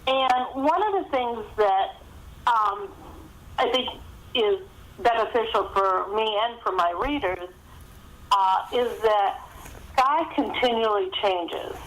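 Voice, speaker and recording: A woman's voice: fairly high pitch, expressive, slow pace, fairly bright tone. A woman speaking as a guest author, giving conversational interview answers over a thin phone connection. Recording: thin phone-line sound.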